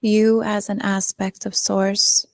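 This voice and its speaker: A woman leading a guided meditation in a soothing manner, pausing often, with soft phrase endings. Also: medium pitch, level tone, gravelly, no echo. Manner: slow, soothing delivery with long pauses.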